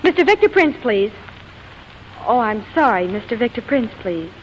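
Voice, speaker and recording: A woman's voice: a little high, very animated, moderate pace. A woman playing a haughty, fiery young glamour girl, coquettish and theatrical in 1940s comedy style. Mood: sweetly coaxing. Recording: muffled old soundtrack, hissy noise.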